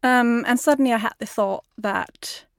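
A woman's voice: fairly high pitch, normal speed, dry recording, clean studio sound. A young woman from Denmark with a Danish accent, talking as a guest in an interview, thoughtful, with frequent ums and likes. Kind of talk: casual interview conversation.

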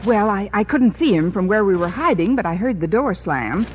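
An actress playing a sardonic, wisecracking schoolteacher with dry comic timing in an old radio comedy. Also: female voice, medium pitch, moderate pace, close to an everyday voice. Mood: conspiratorial. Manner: deadpan, dry sarcastic timing. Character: wry, wisecracking adult female schoolteacher, cool and sardonic. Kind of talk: 1940s-50s radio comedy acting. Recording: muffled, noisy old radio recording.

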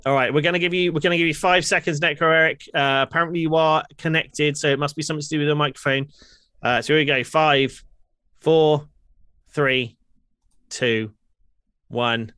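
A man hosting a chatty podcast, relaxed and full of uhs while he runs the call-ins. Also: on the high side, normal speed, smooth, no room sound. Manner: relaxed, says uh and um a lot while running the stream. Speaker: podcast host taking calls. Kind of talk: casual chat.

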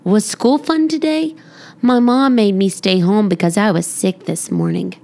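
A woman's voice: mid-range voice, measured pace, mellow, natural voice. A woman voicing a playful, sincere neighborhood boy in a storybook, with an earnest, boyish manner. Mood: friendly.

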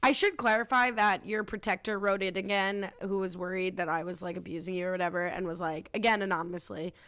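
A woman's voice: mid-range voice, steady tone, a bit bright. A woman co-hosting a podcast, chatting casually about a TV show with a wry, teasing manner. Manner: wry, teasing, quick asides. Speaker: podcast co-host.

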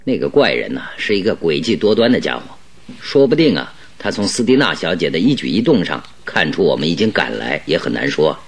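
A man playing a shrewd, calm detective in a Mandarin radio drama, speaking deliberately and with emphatic instruction. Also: deep voice, husky, exaggerated voice. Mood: grave, deliberating. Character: shrewd, commanding male detective, calm and exacting.

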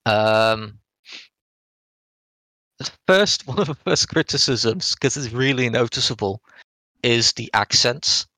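A man co-hosting a podcast, casually reviewing a game in a halting, rambling way with frequent hedging and rephrasing. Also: mid-range voice, pushed and bright, no room sound. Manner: hesitant, halting delivery, often hedging and rephrasing.

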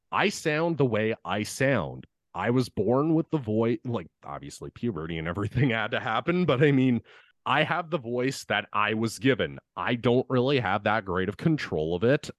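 A young man with a deep voice, casually telling a work story on a podcast and swearing as he vents. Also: dry recording, clean studio sound. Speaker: podcast co-host.

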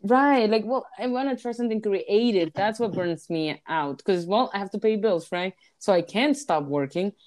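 A woman's voice: medium pitch, normal speed, few pauses, a little mellow. A young woman from Venezuela, a native Spanish speaker with a Venezuelan accent, co-hosting a podcast in a rambling, self-mocking casual chat. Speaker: podcast co-host.

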